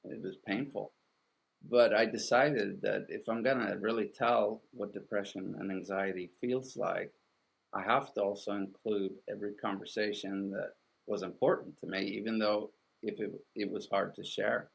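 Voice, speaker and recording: A middle-aged man from Sweden, whose first language is Swedish, speaking as an artist and author in an interview, thoughtful and halting with many restarts. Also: on the low side, fairly even intonation, gravelly, breathy edge, low-quality recording. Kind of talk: reflective interview conversation.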